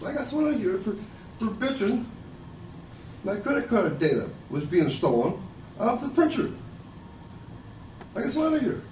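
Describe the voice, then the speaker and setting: An older man speaking loudly and abrasively in a rambling public comment at a meeting. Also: big pitch swings, moderate pace, long pauses. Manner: loud and booming, abrasive, rambling and aggrieved. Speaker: member of the public giving comment. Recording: echoey room from far off, noisy.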